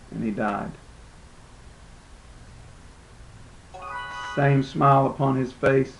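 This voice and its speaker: Casting: male actor for embittered gladiator leader, theatrical monologue, somewhat high-pitched voice, solemn declamation, unhurried delivery. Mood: grieving, subdued. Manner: declamatory, solemn, dramatic pauses. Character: proud, embittered enslaved Roman gladiator chief addressing comrades. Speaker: drama student or amateur actor. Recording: roomy, echoing live room.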